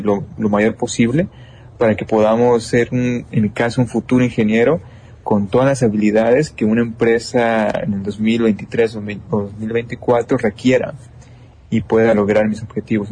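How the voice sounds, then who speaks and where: A man's voice: fairly low pitch, a little mellow, a little hiss or hum. A young man with a Mexican accent, an engineering student answering interview questions earnestly, with many restarts and fillers. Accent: Mexican Spanish. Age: young adult.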